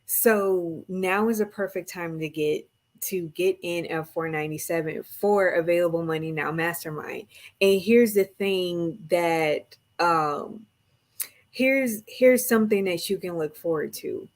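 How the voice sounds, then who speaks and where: A woman giving a casual promotional talk as a co-host and coach, in a halting way that often repeats phrases. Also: fairly low pitch. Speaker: mastermind co-host and nonprofit grant coach.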